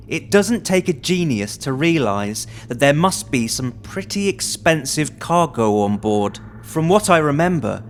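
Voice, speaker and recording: A man voicing a pleading, quick-witted young retail worker turned thief in an audio drama, explaining and arguing his case. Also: mid-range voice, slight background noise, lightly acted. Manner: explanatory, argumentative. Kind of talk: audio drama character reading.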